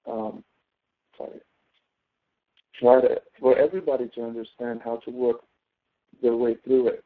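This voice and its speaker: A man teaching as a professor in an informal tutoring walkthrough, explaining step by step and often checking in with "okay?". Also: medium pitch. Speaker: professor teaching an online class. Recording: thin phone-like audio.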